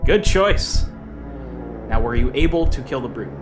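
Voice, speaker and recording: A man's voice: medium pitch, expressive, unhurried, close to an everyday voice. A man playing a stern, menacing officer running an interrogation in a military sci-fi audio drama, clipped and commanding. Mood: controlled, smug. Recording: music behind the voice.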